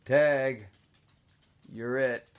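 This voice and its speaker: A man's voice: mid-range voice, steady tone, very slow, clear, resonant tone, highs cut off, pitched below the performer's own voice. A man voicing an exhausted, parched teenage boy stranded on a mountain, speaking in a weak, strained way. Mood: weary.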